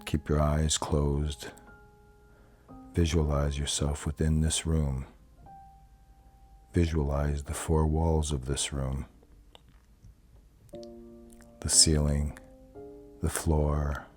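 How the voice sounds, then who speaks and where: A man with a very deep voice guides a meditation in a soothing, measured way. His delivery is slow and unhurried, with little rise or fall in pitch. He sounds like a calm relaxation instructor. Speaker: yoga nidra guide. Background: soft plucked guitar music.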